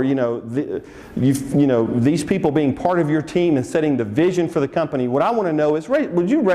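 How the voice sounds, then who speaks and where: Texan man, Southern accent, seminar presenter, upbeat and jokey, slightly raised pitch. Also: dark tone, long reverb tail. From Texas. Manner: upbeat, coaxing the audience, joking. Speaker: seminar speaker. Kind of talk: interactive business seminar talk.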